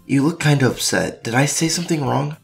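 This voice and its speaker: A woman's voice: low-pitched, fairly quick, creaky, faint music behind. A woman voicing a sweet, dorky teenage boy in a comic dub, teasing and earnest. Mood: concerned. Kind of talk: animated-style comic dub acting.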